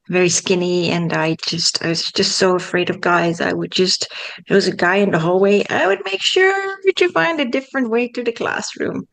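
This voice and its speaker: A woman's voice: mid-range voice, low-quality recording. A woman in her forties from the Netherlands, a spiritual coach chatting openly in an interview, joking and using many fillers. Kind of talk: casual interview chat.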